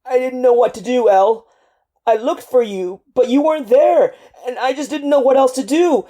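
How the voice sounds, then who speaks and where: A woman's voice: high voice, normal speed, warm tone, pitched above the performer's own voice. A woman voicing a grief-stricken young male marine who is crying, his words strained and tumbling out. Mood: anguished.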